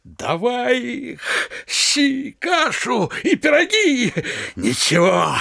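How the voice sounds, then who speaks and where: An actor playing a hungry, plain-spoken manservant in a comic audio play, blunt and folksy. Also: male voice, very high voice, huge pitch swings, drawn-out words, gravelly, sharp tone, exaggerated voice. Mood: hungry, resigned.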